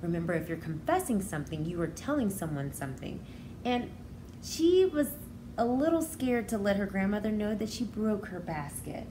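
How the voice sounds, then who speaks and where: Expressive woman reading to children, noisy recording. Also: medium pitch, moderate pace. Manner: expressive, simple explaining to young children. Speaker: preschool educator. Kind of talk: children's read-aloud with explanatory asides.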